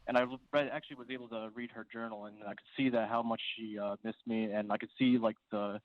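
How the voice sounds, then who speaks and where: Flat, halting man on a dull phone line. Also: lowish voice. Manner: hesitant, halting, flat delivery. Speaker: caller, military service member.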